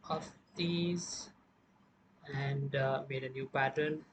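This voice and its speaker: A man presenting an informal music production software tutorial, explaining step by step in a halting way. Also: medium pitch, steady tone, noisy background.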